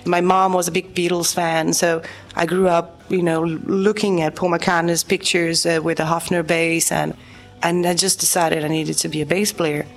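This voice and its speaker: A woman's voice: lowish voice, flat delivery, moderate pace, faint music behind. Chatty Brazilian-born woman musician. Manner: chatty, full of fillers. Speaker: guest musician. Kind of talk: casual interview chat.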